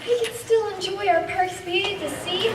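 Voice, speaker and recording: A woman playing an excited, nature-loving youngster in an amateur radio play, with gushing delivery. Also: very high voice, normal speed. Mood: excited, awed. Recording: echoey room, distant mic, music behind.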